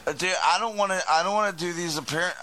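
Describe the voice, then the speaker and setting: A man's voice: high voice, fast, sharp tone. A man with a growly voice hosting a rambling radio chat, blunt and foul-mouthed. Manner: profane, blunt. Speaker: radio show host and band frontman. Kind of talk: rambling casual group chat.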